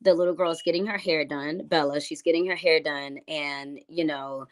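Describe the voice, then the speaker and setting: A woman's voice: low-pitched, fairly even intonation, normal speed. A woman from New York City, a music artist, chatting casually in an interview and rambling through her answers with many fillers.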